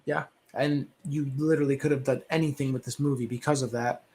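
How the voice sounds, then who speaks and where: A man's voice: mid-range voice, fairly even intonation, fairly quick, darkish tone, a little hiss or hum. A man on a movie discussion panel, giving opinions casually and conversationally, often rephrasing himself as he goes.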